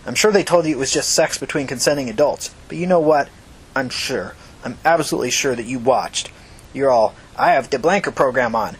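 A man's voice: a little high, breathy edge, pitched above the performer's own voice. A man voicing a vengeful, foul-mouthed young woman who threatens and commands in short emphatic bursts in an audiobook story. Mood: accusing, anguished.

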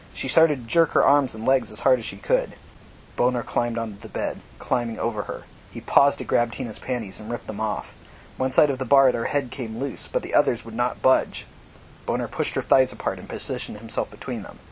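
A man narrating dramatic fiction as an audiobook reader. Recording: noisy recording with low rumble.

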